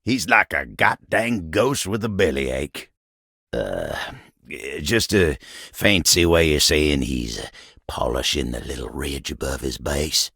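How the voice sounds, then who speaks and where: An actor voicing a bashful, folksy, old-fashioned talking table in a video game, with a gravelly voice and an American Southern, Western-style drawl. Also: male voice, rumbling low, sing-song, slow, pushed and bright, no echo, clean studio sound, exaggerated voice. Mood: flustered grumbling. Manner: folksy, rambling, flustered hesitations. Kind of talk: video game character dialogue.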